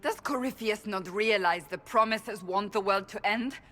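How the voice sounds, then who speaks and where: A woman's voice: medium pitch, normal speed, bright, forceful tone, close to an everyday voice. A woman playing a stern, righteous warrior in a video game, blunt, serious and formal in her delivery. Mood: grave, suspicious. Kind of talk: video game character dialogue.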